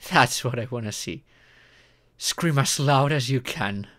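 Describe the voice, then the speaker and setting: A performer voicing a caring, earnest young romantic partner in an intimate ASMR roleplay, with deliberate pauses. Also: medium pitch, fairly bright tone, lightly acted. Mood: reassuring.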